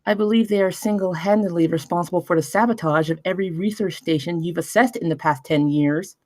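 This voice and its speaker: A woman's voice: lowish voice, fairly quick, a little mellow, dry recording, close to an everyday voice. A young woman at a film table read playing an earnest, insistent intern investigator in her early twenties.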